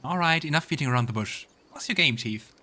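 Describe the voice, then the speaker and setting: A man voicing a tense, suspicious young tiefling who talks bluntly in rough slang, for a video game read-along. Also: a little high, animated, quick pace, sharp tone, voice pushed up. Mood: confrontational.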